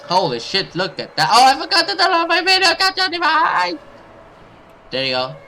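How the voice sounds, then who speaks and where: A man doing expressive let's play commentary and dramatic read-aloud of a horror visual novel as a gaming host. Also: very high voice, moderate pace, gravelly, a little hiss or hum. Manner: expressive, reactive, sweary.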